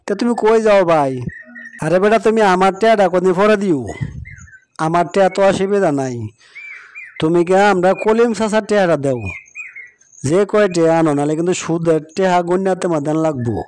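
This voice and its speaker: A man voicing a nosy, advice-giving village neighbour in a cartoon, loud and forthright, with a rural Bangladeshi accent. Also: sits high, moderate pace, smooth, lightly acted. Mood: urging. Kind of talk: colloquial cartoon dialogue.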